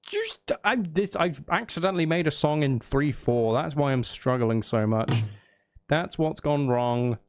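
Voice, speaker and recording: A man's voice: fairly high pitch, animated, measured pace, no room sound, clean studio sound. A man chatting casually on a live stream, thinking aloud as he works through a task, repeating phrases and questioning himself. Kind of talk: casual live stream chat while editing music.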